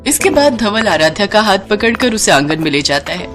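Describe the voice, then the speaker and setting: A woman's voice: medium pitch, sing-song, normal speed, fairly bright tone, soft background music. A woman reading a scripted storybook narration.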